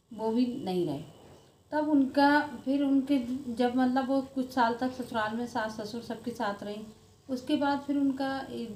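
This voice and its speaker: A woman's voice: fairly high pitch, darkish tone. A woman giving informal vlog commentary in an earnest, emphatic way that often circles back on itself. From India. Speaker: video blogger. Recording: echoey room with faint background noise.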